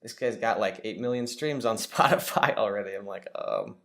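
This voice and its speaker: A man's voice: on the low side, moderate pace, a bit bright. A man in his twenties hosting a podcast, chatting upbeat and casually with his guest and telling stories. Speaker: podcast host.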